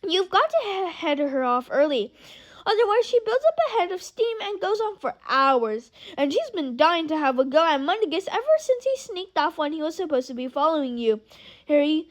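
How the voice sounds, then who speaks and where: A woman's voice: very high-pitched, big pitch swings, normal speed. A woman doing a cheeky teenage boy. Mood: knowing.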